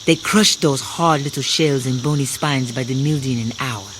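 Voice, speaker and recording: An actress playing a sly waitress who runs a swamp clam bar, taunting and playfully sardonic, in an audio drama. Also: female voice, rumbling low, normal speed. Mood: grimly relishing. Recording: clearly noisy outdoor night ambience with insects. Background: crickets and insects.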